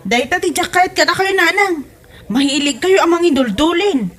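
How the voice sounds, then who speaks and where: Actress as a nagging Ilocano daughter, high-pitched, exasperated, comedy drama. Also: female voice, animated, moderate pace, a bit bright. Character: exasperated grown daughter nagging her stingy mother. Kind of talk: comedy audio drama acting.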